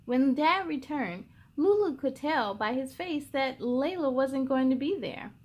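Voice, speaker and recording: A woman's voice: high-pitched, lively intonation, measured pace, darkish tone. A woman hosting a read-aloud for young children, telling picture-book stories expressively and speaking straight to her listeners.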